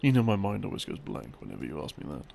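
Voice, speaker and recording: A man playing an affectionate, laid-back gamer boyfriend in an intimate ASMR roleplay, rambling softly and hesitantly to soothe his partner to sleep. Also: low voice, wildly swooping pitch, creaky, exaggerated voice. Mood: teasing, relaxed. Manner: soft-spoken, hesitant, teasing, with small chuckles and long pauses. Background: background game music.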